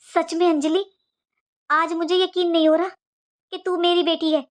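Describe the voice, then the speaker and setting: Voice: very high-pitched, flat delivery, normal speed. A performer voicing an anxious, morally stern middle-aged mother in a cartoon moral story, emotional and reproachful. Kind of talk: animated moral story dialogue.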